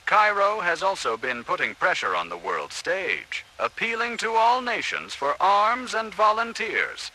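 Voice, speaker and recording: Animated, bright-voiced man, radio news commentator. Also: on the high side, poor audio.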